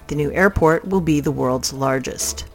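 A woman's voice: low voice, normal speed, vocal fry. A woman news reader delivering a scripted business news roundup. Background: music.

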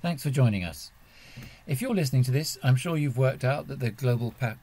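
A man's voice: medium pitch, a little mellow, slightly rough. A man presenting a podcast as host and editor.

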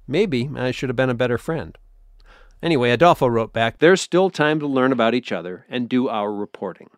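A man's voice: mid-range voice, animated, a little fast, no room sound, clean studio sound. A man hosting a radio show, introducing a colleague's piece in a personal, friendly way.

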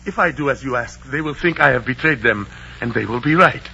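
An actor playing a proud, sorrowful captive Aztec emperor, speaking formally in a 1940s radio drama. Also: male voice, medium pitch, lively intonation, a little fast, vocal fry, pushed and bright, full character voice. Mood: defiant, bitter. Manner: formal, declamatory. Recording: noisy, dull 1940s radio recording.

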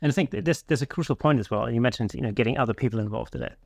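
Podcast host guy, talks quick, casual chatty, says you know a lot, dry sound. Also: medium pitch, animated, vocal fry, no echo. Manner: conversational, repeats "you know". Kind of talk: casual interview chat.

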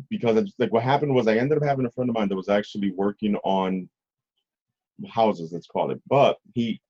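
Middle-aged man, husky, low pitch, rambling casual storyteller, interview guest, slightly dull lo-fi sound. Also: warm tone.

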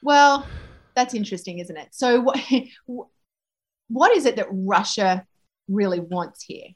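A woman foreign correspondent answering interview questions in a thoughtful way, with frequent pauses and restarts. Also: medium pitch, expressive.

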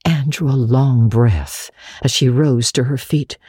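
A woman narrating a classic novel as an expressive, dramatic audiobook reader. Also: very deep voice, slow, husky, no echo, clean studio sound.

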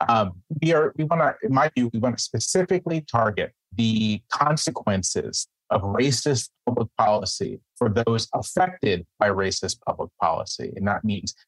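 A man with a mid-pitched voice makes his case in a formal debate. He speaks in a measured way, restarting phrases as he thinks, and the sound is dry and close. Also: no echo, low-quality recording. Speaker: newspaper columnist and debater.

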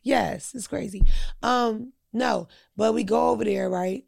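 Woman, heavy vocal fry, blunt, crude storyteller, dry close mic. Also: on the high side, a little slow, a little mellow, dry recording. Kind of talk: casual podcast chat.

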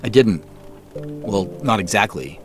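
A man acts an earnest, cautious everyday guy turned sleuth in an audio drama. His pitch moves in a lively way while he stays conversational and measured. Music plays behind him. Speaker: lead character and narrator. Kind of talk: scripted audio drama, first-person narration and naturalistic phone dialogue. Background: background music.

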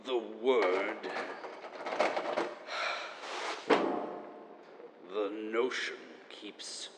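An actor playing a grandiose, theatrical chronicler enduring after the apocalypse, pompous and melodramatic in an audio drama monologue. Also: male voice, lowish voice, long reverb tail, heavy background noise. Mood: brooding, grave. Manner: pompous, melodramatic, savoring each word.

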